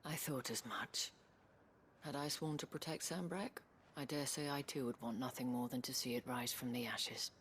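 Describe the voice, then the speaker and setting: Low-voiced, dignified noblewoman. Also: normal speed, fairly bright tone, slight background noise. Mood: resigned, sorrowful. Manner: poised, formal, eloquent. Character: dignified noblewoman leading a town, wistful, principled. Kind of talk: scripted game dialogue.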